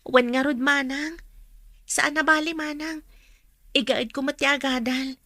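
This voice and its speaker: A woman's voice: high-pitched, fairly even intonation, a little slow, bright, forceful tone, dry recording, studio quality, full character voice. An actress playing an earnest, polite young woman student in a radio drama, speaking with a Filipino accent. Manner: polite, deferential. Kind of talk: Ilocano radio drama acting.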